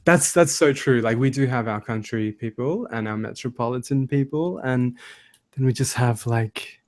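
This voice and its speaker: A man's voice: mid-range voice, moderate pace. Laughing Australian man, chatty voice actor. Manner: laughs often, teasing and chatty. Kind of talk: casual online hangout chat.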